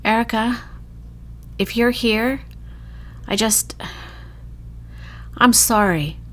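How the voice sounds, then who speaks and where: A woman's voice: a little high, extremely slow, a bit bright, pitched above the performer's own voice. A woman playing a grieving, guilt-ridden drunken woman who pleads in a strained, emotional voice in a horror story. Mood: tearful, apologetic.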